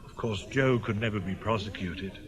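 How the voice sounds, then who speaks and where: A man's voice: lowish voice, moderate pace, a crowd in the background, close to an everyday voice. An actor playing an earnest, anxious village clergyman in a British radio drama, speaking formally and insistently. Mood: urgently insistent.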